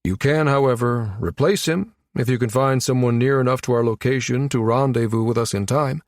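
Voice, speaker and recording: A man's voice: medium pitch, a little fast, no echo, studio quality, close to an everyday voice. A man voicing a composed male colonel, polite yet firm, in a science fiction audiobook. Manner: smooth, polite but authoritative.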